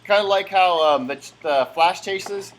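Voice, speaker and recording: A young man talks through an unboxing in a loose, rambling way, full of "uh"s and restarts. His voice sits a touch high for a man. A faint hum of room ambience runs under his camera-mic recording. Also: normal speed. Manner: chatty, rambling, lots of fillers and restarts. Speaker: collectible game unboxer. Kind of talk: casual unboxing commentary.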